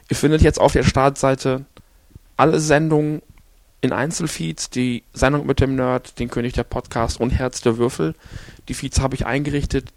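A man hosting a podcast on his own, hoarse after an illness, rambling along with many fillers and restarts. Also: medium pitch, a little mellow, no room sound. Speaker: podcast host. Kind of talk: casual solo podcast talk.

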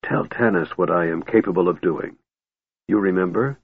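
A man voicing a frail, cold male mage in a storybook, with a soft, shattered whisper and calm, deliberate menace. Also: low voice, husky, phone-quality sound. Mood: softly threatening.

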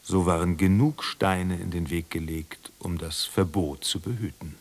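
A man's voice: sits low, steady tone, unhurried, noisy background. A man playing a grave, terse second narrator in a scripted literary radio drama. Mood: grave, detached.

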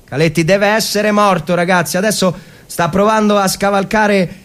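A man's voice: high voice, steady tone, moderate pace, a bit bright. A man giving a dramatic, theatrical reading of a war story, telling it with suspense in the present tense. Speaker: actor reading a short story.

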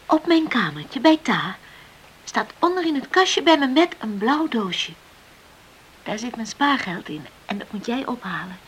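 A woman's voice: on the high side, big pitch swings, unhurried, a bit bright, low-quality recording. An actress playing an eager, affectionate young woman recovering in bed, earnest and coaxing, in a hissy old radio drama. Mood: earnest, confiding.

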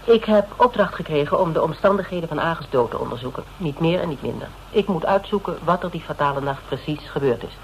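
An actress with a Netherlands Dutch accent playing a level-headed, businesslike detective in a radio drama, speaking directly. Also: female voice, deep voice, animated, quick pace, few pauses. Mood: businesslike, placating. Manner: direct, matter-of-fact. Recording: faintly noisy radio recording.

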